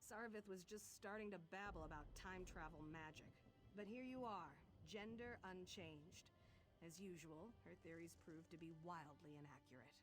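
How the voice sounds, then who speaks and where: A woman's voice: fairly low pitch, normal speed, natural voice, poor audio. A woman playing a resolute warrior in a fantasy video game, speaking in an earnest, dramatic way. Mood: calm, wry. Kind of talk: video game character acting.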